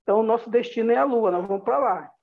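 A woman's voice: on the high side, rapid-fire, vocal fry. A woman with a Brazilian accent gives an upbeat, motivational business presentation over a video call, talking straight to her listeners. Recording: dull lo-fi video call audio.

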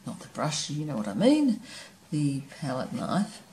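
Woman teaching painting, relaxed, thinking aloud, low voice, slight hum. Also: unhurried, echoey room. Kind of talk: painting demonstration narration. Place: studio table, camera overhead.

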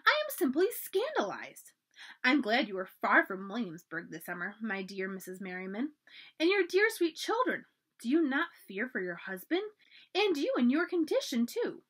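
A woman's voice: fairly high pitch, wildly swooping pitch, moderate pace, a bit bright, slightly rough, full character voice. A woman voicing a pompous, gossiping older lady who is easily scandalized, speaking shrilly and dramatically. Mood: gushing.

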